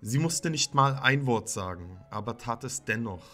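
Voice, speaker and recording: A man's voice: mid-range voice, lively intonation, normal speed, bright, forceful tone. A man playing a sullen, troubled teenage son, bitterly narrating his own horror story in a dramatic way. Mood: bitter, brooding. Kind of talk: scripted first-person horror narration. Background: music.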